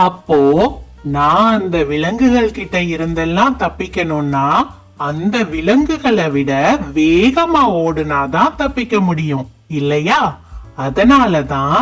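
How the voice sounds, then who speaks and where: A woman voicing a wise, caring young deer who patiently reassures and explains in a children's animated story. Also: on the low side. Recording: music bed under the voice.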